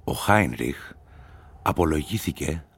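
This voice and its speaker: A man's voice: rumbling low, lively intonation, vocal fry, slightly rough. A man reading scripted literary narration as the storyteller of a radio play. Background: music.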